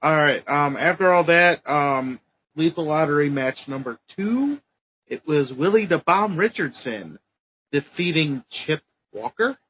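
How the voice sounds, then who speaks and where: Guy rambling slow thru a recap, lots of ums, kinda muffled audio. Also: a little high, animated, slight background noise. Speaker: podcast co-host. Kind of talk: casual chat.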